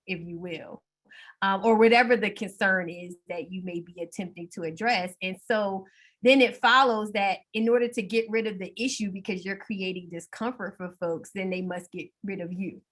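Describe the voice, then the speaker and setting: A woman speaking as a panelist and school district equity leader on a video call, in a measured, thoughtful way with frequent ums. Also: medium pitch, a bit bright. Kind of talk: virtual panel discussion.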